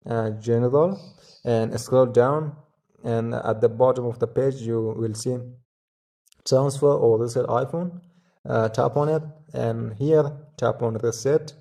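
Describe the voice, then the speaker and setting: A man with a soft-edged, mellow voice at a medium pitch walks through instructions as a tutorial host. His delivery is step by step and a little halting, with frequent small hesitations. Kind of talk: step-by-step tech tutorial narration.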